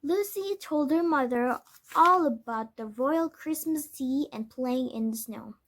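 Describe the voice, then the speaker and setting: High-voiced woman reading slowly. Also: a little hiss or hum. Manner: halting, often stumbles and corrects words. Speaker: read-aloud storyteller. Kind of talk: scripted children's book narration.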